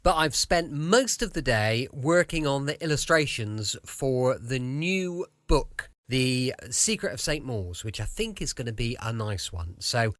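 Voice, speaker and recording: A British man hosting a cosy late-night podcast with gentle, homely, chatty introductions. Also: medium pitch, big pitch swings, unhurried, few pauses, no echo, studio quality. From southwest United Kingdom. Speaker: podcast host.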